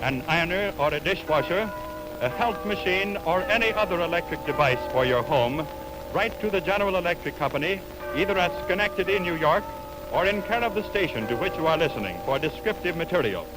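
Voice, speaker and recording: Formal 1920s radio announcer, higher-pitched man. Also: lively intonation, a little fast, music in the background. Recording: warped, scratchy 1920s radio aircheck.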